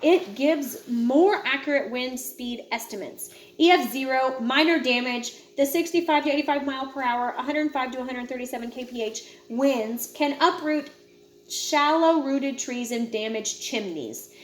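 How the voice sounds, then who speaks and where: High-pitched woman reading aloud. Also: normal speed. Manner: informal, stumbles over words and corrects herself. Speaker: read-aloud presenter. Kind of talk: reading a nonfiction book aloud with casual asides.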